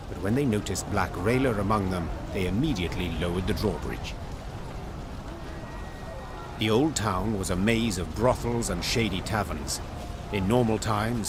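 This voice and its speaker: A man's voice: low-pitched, noisy background. A man narrating a fantasy war tale in a video game as a grave storyteller, scripted and dramatic. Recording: music behind the voice.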